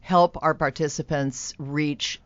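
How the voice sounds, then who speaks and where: A woman's voice: deep voice, steady tone, slow, no echo, muffled recording. A woman on a radio show, earnestly explaining how her employment program helps job seekers and businesses. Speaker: employment program representative, guest. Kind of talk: radio interview.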